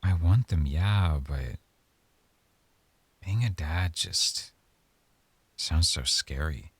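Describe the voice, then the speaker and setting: Man acting as a young boyfriend, extremely low voice, dry close sound, soft-spoken, halting, affectionate, intimate ASMR roleplay. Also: breathy edge, no room sound, heavily acted. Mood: hesitant, unsure. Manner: soft-spoken, hesitant, halting, thinking aloud. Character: affectionate young boyfriend, hesitant about having a baby.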